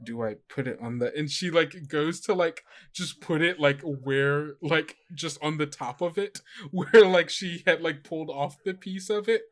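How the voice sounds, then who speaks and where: Higher-voiced man narrating a tabletop game. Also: fairly bright tone. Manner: halting, conversational narration. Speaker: game master.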